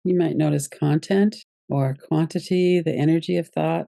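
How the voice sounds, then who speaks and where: A woman guiding a yoga nidra meditation as its teacher, giving gentle, unhurried instructions with pauses. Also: sits low, steady tone, vocal fry, dry recording, clean studio sound.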